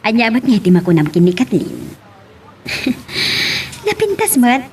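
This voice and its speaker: A woman acting an animated, teasing older female relative in an Ilocano audio drama. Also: a little high, a little slow, heavily acted. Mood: questioning. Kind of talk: radio-style drama acting. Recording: faint background noise.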